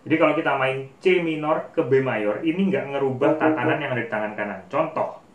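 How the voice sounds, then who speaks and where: A man's voice: medium pitch, a little fast. A man giving a casual, informal piano tutorial as a teacher who demonstrates as he talks. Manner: informal, demonstrative, often counting out notes. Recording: roomy, distant mic, loud piano music.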